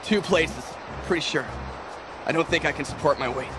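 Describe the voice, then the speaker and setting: A man's voice: on the high side, normal speed, noisy background, lightly acted. An actor playing an injured, dutiful male soldier in a video game. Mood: pained, restrained. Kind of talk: video game cutscene acting.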